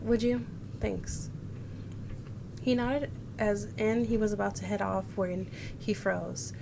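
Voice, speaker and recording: A woman's voice: mid-range voice, flat delivery, slow, gravelly, noisy background. A woman streamer reading visual novel text aloud, expressively voicing the narration and character lines. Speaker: game streamer. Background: game music.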